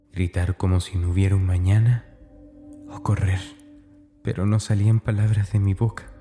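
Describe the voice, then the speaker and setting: A man's voice: fairly low pitch, mellow, lightly acted. An actor playing a tormented, fearful schoolboy, narrating his diary in a confiding, anguished, dramatic way. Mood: frightened.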